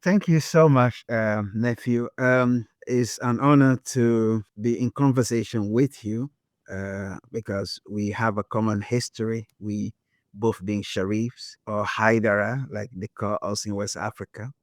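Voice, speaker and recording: Low-voiced Liberian man, reflective author. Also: steady tone, no echo, clean studio sound. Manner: thoughtful, unhurried, with many pauses and fillers. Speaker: author guest. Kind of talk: reflective interview talk.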